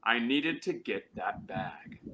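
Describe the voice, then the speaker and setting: A man reads a scripted ghost story with dramatic delivery. His mid-pitched voice is very bright and forceful, with a sharp edge. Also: expressive, takes it slowly.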